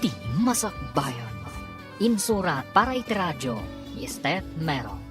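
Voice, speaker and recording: A woman's voice: low voice, sing-song, unhurried, music in the background. A woman announcing the closing credits of a radio drama in a formal style.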